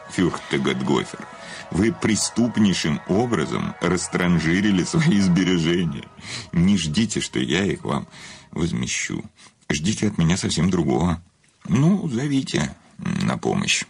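Deep-voiced, cynical, grandiloquent famous writer. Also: male voice, vocal fry, exaggerated voice. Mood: menacing, contemptuous. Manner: grandiloquent, theatrical, sardonic. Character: cynical, vain famous male writer, menacing confessed killer. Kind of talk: radio play acting.

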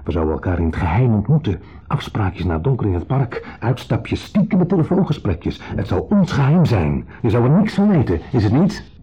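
An actor playing a shabby, self-pitying ex-husband who talks with deliberate, quiet menace in a radio drama. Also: male voice, on the low side, sing-song, slightly rough, heavily acted. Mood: smooth, insinuating. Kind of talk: 1970s radio drama acting. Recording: dull 1970s radio play recording.

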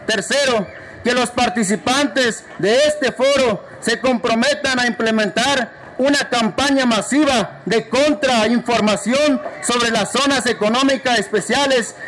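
Very high-voiced man reading a declaration. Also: fairly bright tone, rough recording. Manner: measured, declamatory, enumerating points. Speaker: reader of a forum declaration. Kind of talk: formal reading aloud.

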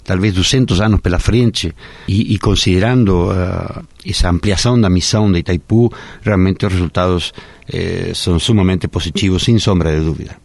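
Man, low and dry. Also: moderate pace, vocal fry, a little mellow, dry recording. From Argentina. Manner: earnest, speaks in long winding sentences. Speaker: radio interview guest, park director. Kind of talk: radio interview.

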